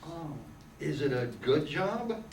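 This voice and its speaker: A man's voice: on the high side, animated, slow pace, darkish tone. An amateur actor playing George Bailey, a restless, stubborn young small-town man in an old-time radio drama. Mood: curious, hearty. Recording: distant echoey room, noisy hiss.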